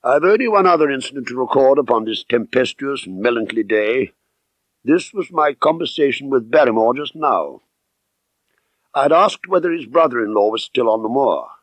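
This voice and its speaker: A man reading first-person literary narration as an audiobook narrator, measured, expressive and dramatic. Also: medium pitch, dry recording, low-quality recording.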